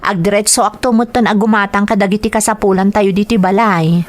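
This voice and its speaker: An actress playing an eager, reassuring young wife and daughter who is house-proud, in a radio drama. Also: female voice, medium pitch, steady tone, a little fast, no echo, natural voice. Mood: dutiful, eager. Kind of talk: Ilocano radio drama acting.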